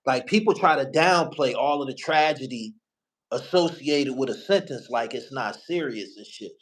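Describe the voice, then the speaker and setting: A man's voice: mid-range voice, expressive, normal speed, rough recording. A middle-aged man hosting a casual talk, profane and emphatic, hammering phrases by repeating them. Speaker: podcast host. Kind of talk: casual street-slang talk.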